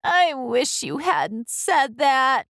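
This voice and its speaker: A self-conscious little storybook girl, played by a woman, speaks high with big swoops in pitch. She is dramatic and emphatic, stretching out her words. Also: sing-song, very slow, fairly bright tone, no room sound, clean studio sound, full character voice. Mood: groaning. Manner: exclaiming, groaning, emphatic. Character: anxious young schoolgirl, self-conscious and dramatic.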